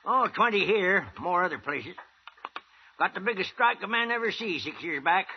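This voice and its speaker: An actor playing an eager, toothless old American gold prospector in a 1950s radio western, with a gravelly, cackling voice and a folksy, rambling drawl. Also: male voice, high-pitched, animated, normal speed. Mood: excited yarn-spinning. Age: older. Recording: muffled, hissy old radio recording.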